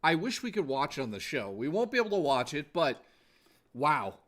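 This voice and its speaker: A man's voice: on the high side, big pitch swings, moderate pace, fairly bright tone, slight background noise. A man in his forties chatting casually to viewers as a gaming livestreamer, informal and exclamatory, with some swearing. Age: 40s.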